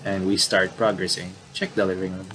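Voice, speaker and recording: Male game commentator, lowish voice, casual and halting, reads text aloud and rambles, slightly noisy home recording. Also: monotone. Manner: rambling, self-correcting, halting. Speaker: gaming video commentator.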